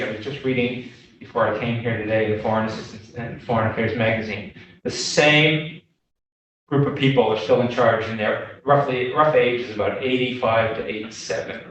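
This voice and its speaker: An older American man talks through an informal slide lecture in a halting, anecdotal style. His pitch sits in the middle range. He is recorded from a distance in a very reverberant room. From Boston, United States. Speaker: guest lecturer, development consultant. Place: echoey meeting room before a small audience. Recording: very echoey room, distant microphone.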